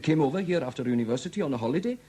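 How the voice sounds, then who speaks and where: A man's voice: on the high side, lively intonation, rapid-fire, mellow, slightly rough. A man acting a reflective, talkative festival secretary in a 1970s radio drama. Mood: musing, admiring.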